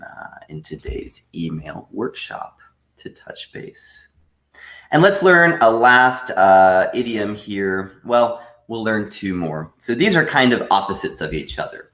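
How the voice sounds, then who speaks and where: A man teaching a live online English lesson, patiently explaining with frequent pauses. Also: deep voice, fairly bright tone, reverberant. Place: room at home.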